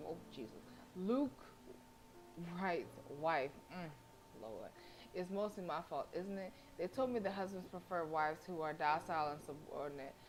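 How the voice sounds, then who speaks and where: A woman's voice: fairly low pitch, low-quality recording. A woman live streamer reading a visual novel aloud expressively, breaking in with slangy, casual interjections. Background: game music.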